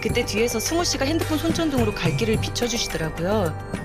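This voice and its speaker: A woman acting a soft-hearted resident giving her account in an audio drama. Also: a little high, very animated, quick pace. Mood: grateful, wistful. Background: background music.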